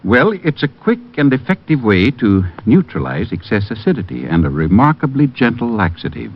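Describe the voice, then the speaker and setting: An actor playing a reassuring, polished American announcer in a mid-century radio commercial. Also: male voice, on the low side, sing-song, moderate pace. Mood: reassuring, emphatic. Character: reassuring, authoritative male drug product pitchman. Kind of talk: 1940s-50s radio commercial pitch. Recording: dull vintage radio recording.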